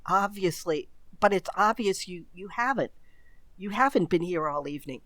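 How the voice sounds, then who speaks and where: A woman's voice: fairly low pitch, lively intonation, normal speed, fairly bright tone, no room sound. A woman playing an impatient, suspicious well-to-do wife who questions sharply in a radio mystery. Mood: impatient, scolding. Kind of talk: old radio mystery acting.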